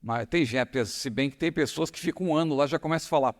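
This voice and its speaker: Middle-aged male pastor, São Paulo accent, high voice, emphatic sermon delivery in a reverberant hall. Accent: São Paulo Portuguese. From São Paulo, Brazil. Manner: slow emphatic reading with rising stress. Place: large church hall.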